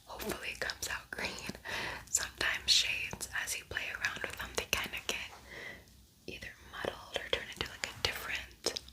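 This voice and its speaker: A woman speaking in a breathy whisper, chatting informally and softly as she hosts an ASMR makeup tutorial. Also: moderate pace, poor audio. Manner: soft-spoken, informal, chatty. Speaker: beauty ASMR video creator.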